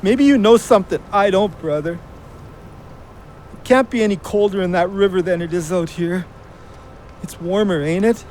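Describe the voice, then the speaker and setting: A man's voice: high-pitched, moderate pace, pauses often, darkish tone, a little hiss or hum, lightly acted. An actor playing a guilt-ridden, haunted drinking man in an audio drama, pleading in colloquial speech. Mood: grieving, rambling. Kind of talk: emotional audio drama acting.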